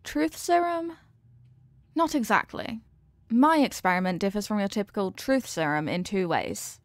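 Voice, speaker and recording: A woman's voice: medium pitch, expressive, normal speed, no echo, natural voice. A woman playing an earnest, formal young scientist who is fond of her test subject, in a scripted roleplay with precise, clinical wording. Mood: earnest, explanatory. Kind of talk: scripted roleplay addressed to the listener.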